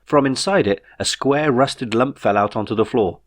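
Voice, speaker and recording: A man reading his own novel as a scripted audiobook, in a measured storytelling manner. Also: medium pitch.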